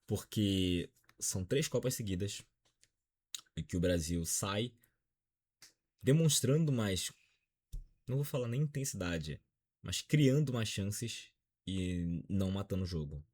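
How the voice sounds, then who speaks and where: A man's voice: lowish voice, animated, a little slow, pauses often, a little mellow, breathy edge. A Brazilian man in his twenties with a Brazilian accent, giving a dejected, frank football opinion vlog. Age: 20s. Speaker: football commentator.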